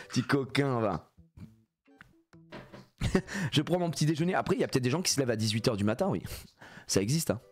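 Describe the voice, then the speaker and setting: A man in his thirties with a cold, streaming a game live and joking loosely with chat, often swearing. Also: mid-range voice. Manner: animated, jokey, swears a lot, reads chat aloud. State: congested with a cold. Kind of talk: live gameplay stream chatting with viewers.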